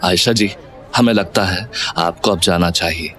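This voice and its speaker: A man's voice: deep voice, unhurried, fairly bright tone, a faint crowd. An actor playing a humble, respectful, encouraging man in an audio drama, speaking politely and earnestly. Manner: polite, refers to himself as "hum".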